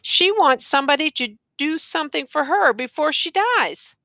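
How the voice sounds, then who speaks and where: A woman's voice: high-pitched, animated, normal speed, creaky, bright, forceful tone, no echo, voice pushed up, heavily acted. A woman voicing an earnest, exasperated male schoolteacher who pleads insistently in educated diction in an audiobook story. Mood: pleading.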